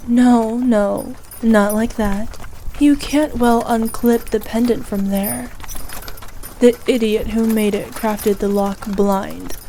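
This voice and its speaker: A woman performs a haughty evil queen in an ASMR roleplay, speaking slowly in a fairly high, soft-edged voice. She is imperious and ruthless, yet indulgent toward her favoured knight. Some background noise sits behind her words. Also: steady tone. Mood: teasing, commanding. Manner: regal, imperious, measured and indulgent. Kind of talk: ASMR roleplay, scripted character acting.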